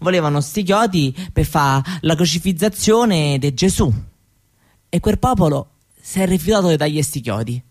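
A woman's voice: sits low, expressive, a little mellow. Woman playing a chatty Roman Roma teenage girl. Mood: storytelling, candid. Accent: Roman Italian. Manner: colloquial, halting, seeking agreement with little tag questions. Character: chatty seventeen-year-old Roma girl, proud, candid, wistful. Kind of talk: conversational theatrical monologue for radio.

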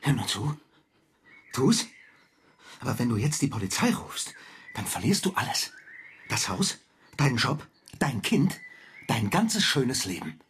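An actor in a German radio drama playing a returned husband, controlled, evasive and quietly menacing. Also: male voice, medium pitch, very animated, measured pace, pauses often, a little hiss or hum. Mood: cold, threatening.

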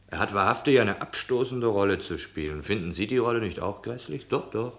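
Low-voiced, grumbling onlooker. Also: male voice, expressive, a little fast, fairly bright tone. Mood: dry disdain. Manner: dry, chatty, disapproving. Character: grumbling, disdainful male onlooker in a bar. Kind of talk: conversational radio drama acting.

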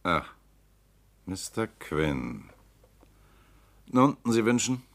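A slick lawyer type, lowish voice, calm and precise, old radio play. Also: male voice, extremely slow, exaggerated voice. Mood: cool. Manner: calm, precise, coolly polite. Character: suave composed male lawyer-politician suspected robot. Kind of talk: 1960s-70s radio drama acting.

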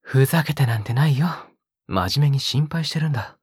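A male actor playing a possessive young captor in an audio drama, coaxing and teasing in a soft, breathy voice as if talking to a pet. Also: medium pitch, expressive, fairly quick, dry recording, clean studio sound. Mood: mock concern. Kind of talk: intimate Japanese audio drama acting.

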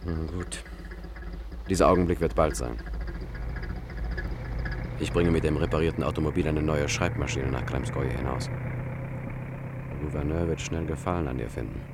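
A low-pitched young conspirator for a radio drama. He is courteous yet brooding and chooses his formal words with deliberation. An engine rumbles noisily behind him. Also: steady tone, normal speed, pauses often, background noise. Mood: resolute, conspiratorial. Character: Lju, brooding, courteous young male revolutionary student posing as secretary. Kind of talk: German radio play acting.

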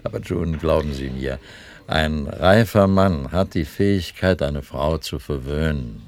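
A man's voice: very deep voice, measured pace, a little mellow. An older actor in a German radio play, playing a gallant, self-assured financier in his sixties with formal manners. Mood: earnest wooing. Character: courtly, self-assured financier of sixty-two, wooing a younger woman.

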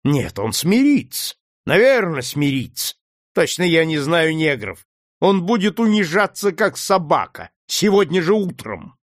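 Man reading a cruel slave master, high strained barking voice, loud and harsh, dry close sound. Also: very animated, a little slow, no room sound. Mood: contemptuous. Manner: harsh, shouting, mocking. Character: brutal cruel male plantation master.

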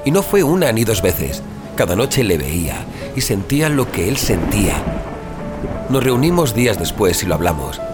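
A man plays a haunted young rebel turned leader in an audio drama, with a Spain accent. His low voice moves expressively and confides in rough, colloquial phrases. Prominent music plays behind him. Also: big pitch swings, normal speed. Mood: confiding, haunted. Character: Iker, earnest reformed troublemaker, haunted survivor and leader. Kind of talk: Spanish audio fiction drama acting.

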